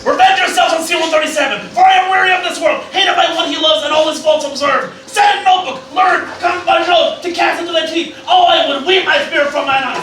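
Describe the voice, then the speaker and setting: A man's voice: very high-pitched, fairly even intonation, fast talker, hardly any pauses, pushed and bright. A man playing a bitter, volatile old scientist grandfather, theatrical and stammering, in a comic Shakespearean parody. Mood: furious ranting. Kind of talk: Shakespearean parody stage acting. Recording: very echoey room, distant mic, noisy.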